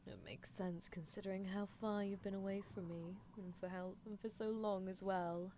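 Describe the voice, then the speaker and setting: A woman's voice: mid-range voice, steady tone, dark tone, close to an everyday voice. Woman playing a teasing mad-scientist horse girl, noisy background. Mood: musing, possessive. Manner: coolly clinical, teasing, unhurried musing. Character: gleefully unethical horse-girl mad scientist in lab coat. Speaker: streamer performing character roleplay. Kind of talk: ASMR roleplay, improvised character monologue. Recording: clear background noise.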